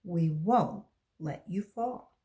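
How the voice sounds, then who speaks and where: A woman reading a children's storybook plays a firm, no-nonsense woman schoolteacher, brisk and insistent. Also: fairly low pitch, mellow, voice pushed down.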